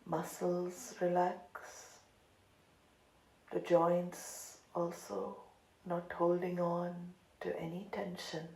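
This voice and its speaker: Female meditation guide: calm, unhurried, slightly low and creaky, pausing often, roomy recording. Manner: calm, deliberate, long pauses between phrases. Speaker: meditation teacher. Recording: roomy echo, light background noise.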